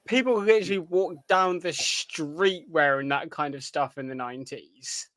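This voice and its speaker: A man with a somewhat high-pitched voice co-hosts a podcast. He speaks in the loose, informal way of a casual panel chat about pop culture. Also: normal speed, fairly bright tone. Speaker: podcast co-host.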